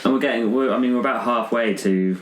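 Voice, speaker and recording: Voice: mid-range voice, fast talker. A roleplaying game player chatting casually and improvising in character. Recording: roomy echo with music behind.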